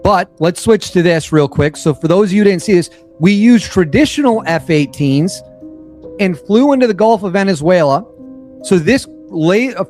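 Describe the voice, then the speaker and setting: A slightly hoarse man giving opinionated news commentary, stressing and repeating his points and reading reports aloud. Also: fairly high pitch.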